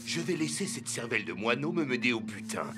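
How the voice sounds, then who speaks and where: A man's voice: mid-range voice, very animated, a little fast, close to an everyday voice. A man playing a greedy, scheming cartoon vulture villain, theatrical, sneering and gloating. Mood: sly gloating. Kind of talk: cartoon voice acting, French dub. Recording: loud music behind the voice.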